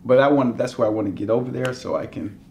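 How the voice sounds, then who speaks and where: A man's voice: mid-range voice, fast, slight background noise. A middle-aged man, a voice actor, chatting in an interview, acting out quotes and laughing easily in a dim hotel room. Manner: animated storyteller, giddy laughter, acts out quotes. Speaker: voice actor guest. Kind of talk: casual interview chat.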